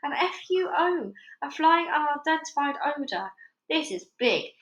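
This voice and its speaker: A woman voicing a bulky, excitable male sheriff who talks in a frantic, rambling way. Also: high-pitched, a little slow, muffled recording, pitched above the performer's own voice. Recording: roomy echo.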